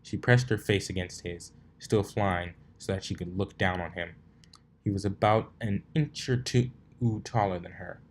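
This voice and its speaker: Male amateur story narrator with a lowish voice, rereading stumbled phrases, noticeable noise on the recording. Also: measured pace. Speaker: fanfiction reader and narrator. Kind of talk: story narration, read aloud.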